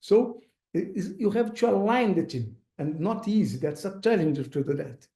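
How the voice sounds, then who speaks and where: A man with a Brazilian accent, Portuguese as his first language, giving a webinar talk as an investor, halting and pausing often with fillers. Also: sits high, animated, warm tone, reverberant. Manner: halting, searching for words, frequent uh fillers. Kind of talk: webinar presentation.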